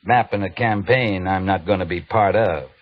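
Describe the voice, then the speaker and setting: Very deep, husky cavalry captain. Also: male voice, normal speed. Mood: subdued, questioning. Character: dutiful cavalry captain, wounded pride under discipline. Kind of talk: old-time radio drama acting. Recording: dull old radio recording.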